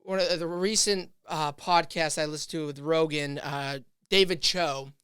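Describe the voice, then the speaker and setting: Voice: medium pitch, steady tone, a little slow, a bit bright, smooth, no echo, clean studio sound. A podcast host thinking aloud in casual chat, rambling with many fillers and restarts.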